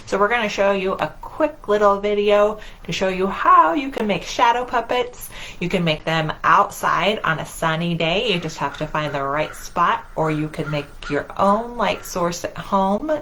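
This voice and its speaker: Lady doing kids storytime, takes it slow, singsong, asks the little ones questions, noticeable background noise. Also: lowish voice, bright, forceful tone. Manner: singsong, simple phrasing with questions to children. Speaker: children's library storytime host. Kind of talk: children's storytime read-aloud with asides to young listeners.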